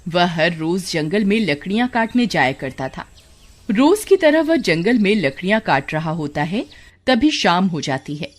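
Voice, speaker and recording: A woman's voice: on the low side, big pitch swings, a little slow. A woman narrating a scripted horror cartoon story. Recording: music behind the voice.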